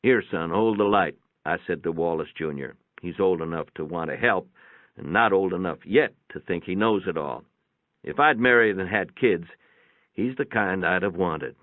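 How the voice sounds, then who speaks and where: A man's voice: low-pitched, moderate pace. A man reading a first-person short story aloud in a folksy, plainspoken storytelling manner. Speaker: narrator. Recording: boxy room echo, tinny phone-like sound.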